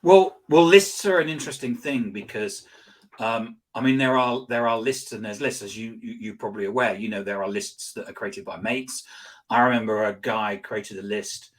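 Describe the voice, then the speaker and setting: A man being interviewed as a guest expert, telling anecdotes in a rambling, animated way with lots of restarts and fillers. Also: on the low side, normal speed. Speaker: guest, social selling expert. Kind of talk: conversational interview storytelling.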